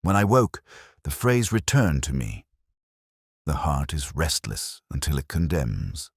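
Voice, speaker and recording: Really deep male narrator reading a moody detective story, very dry close sound, measured and brooding. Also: sing-song, gravelly, husky, no echo. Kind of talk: scripted first-person detective story narration.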